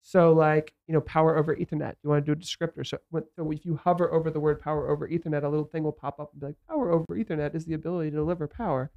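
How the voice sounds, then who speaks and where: A man's voice: a little high, steady tone, rapid-fire, creaky, few pauses, phone-quality sound. A man hosting a casual studio podcast, nasal, rambling with lots of fillers and acted-out quotes. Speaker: host.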